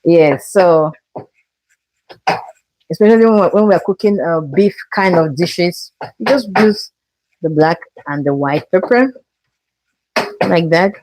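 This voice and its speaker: A woman's voice: on the low side, expressive, slow, pauses often, a little mellow, no echo, low-quality recording. A woman from Cameroon hosting a live cooking stream from her kitchen, talking viewers through each step and greeting them. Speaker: cooking show host.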